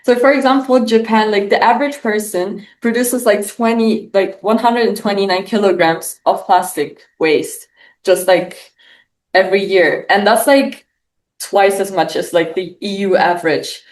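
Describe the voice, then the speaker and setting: A woman's voice: mid-range voice, normal speed, reverberant, distant mic. A teenage girl from Germany giving a casual student presentation, filling her sentences with "like". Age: teens. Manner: casual, lots of "like" fillers. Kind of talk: class presentation.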